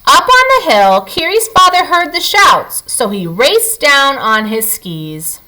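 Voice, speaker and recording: A woman teacher reading to children and guiding them through a lesson, expressive and speaking to them directly. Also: high-pitched, slow, low-quality recording. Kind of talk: children's read-aloud and lesson.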